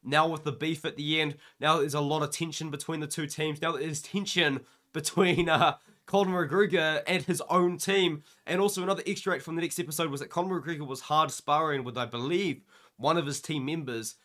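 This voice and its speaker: Excitable sick young man, bright voice. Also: a little high, fairly quick. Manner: excited, rambling, says "man" and "dude" a lot. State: sick, losing his voice. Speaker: MMA YouTuber. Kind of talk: casual reaction vlog.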